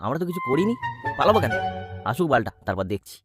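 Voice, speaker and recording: A man's voice: mid-range voice, sing-song, quick pace, over loud music, close to an everyday voice. A man voicing a swaggering young village sidekick in a cartoon, blustering and repeating his words. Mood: combative. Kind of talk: exaggerated cartoon dialogue.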